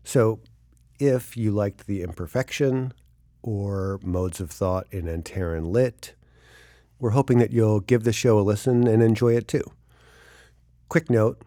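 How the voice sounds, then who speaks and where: A man's voice: lowish voice, dark tone, dry recording. A man giving a casual, friendly, informal podcast announcement as one of the studio team.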